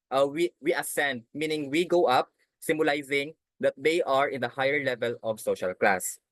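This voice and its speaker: Halting young man presenting. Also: medium pitch, no room sound, poor audio. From Philippines. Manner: halting, restarts phrases while explaining slides. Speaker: student presenter. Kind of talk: class presentation.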